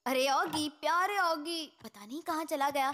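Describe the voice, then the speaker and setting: A woman's voice: high-pitched, unhurried, fairly bright tone. A woman voicing a sweet, affectionate female cat in a Hindi cartoon dub, with a cutesy lilting delivery. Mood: worried.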